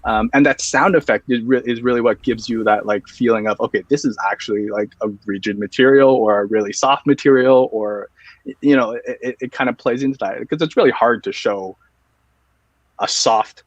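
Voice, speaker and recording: A man's voice: mid-range voice, brisk, dry recording. A man answers interview questions in an earnest, explanatory way, laying out his method step by step. His voice sits in the middle range. The audio is muffled and dry, like a remote call. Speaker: guest, video reviewer.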